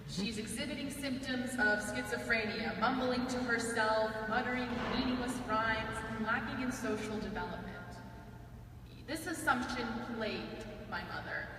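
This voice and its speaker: A young woman student giving a prepared speech, earnest and measured with touches of dry humor. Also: on the high side. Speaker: student speaker. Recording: echoey hall, mic far off, faint hiss.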